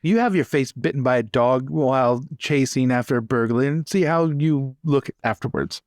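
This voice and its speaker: A man's voice: mid-range voice, moderate pace, no echo, clean studio sound, natural voice. A man playing a wry companion in a role-play drama, making teasing asides.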